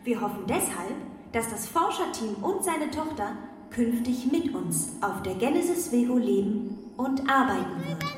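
A woman's voice: on the high side, big pitch swings, moderate pace, heavy background noise. A woman playing an imperious artificial-intelligence ruler of a space ark in a children's radio play, formal and commanding. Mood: ceremonial announcing. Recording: long echoing reverb with music behind.

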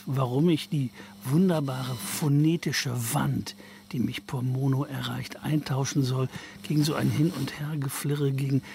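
A man's voice: mid-range voice, gravelly, a little mellow, slight background noise. A man talking reflectively, as an interviewee giving his own views.

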